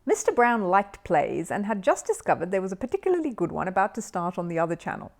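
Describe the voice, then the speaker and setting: A woman reading a children's story aloud as an expressive audiobook narrator. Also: mid-range voice, fast talker, vocal fry.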